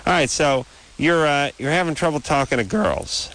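A man's voice: fairly high pitch, animated, normal speed, fairly bright tone, rough recording. A man hosting a radio call-in show, chatting casually with teasing, wry, blunt questions.

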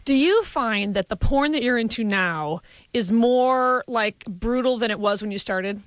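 A woman's voice: a little high, measured pace, no room sound. A woman co-hosting a call-in radio show, cutting in with blunt, opinionated remarks. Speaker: radio co-host.

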